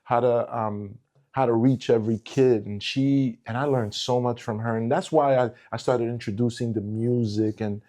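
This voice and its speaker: A man's voice: mid-range voice, steady tone, moderate pace, mellow. A middle-aged man born in Portugal, a former teacher talking in a casual conversation, emphatic and given to repeating words as he builds a point.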